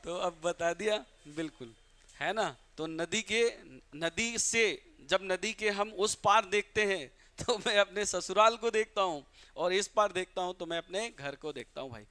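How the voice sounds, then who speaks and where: A man from Bihar teaching English grammar in a live online class, calling students "beta", asking and answering his own questions, and reading English examples out slowly. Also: high voice, sharp tone. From Bihar, India.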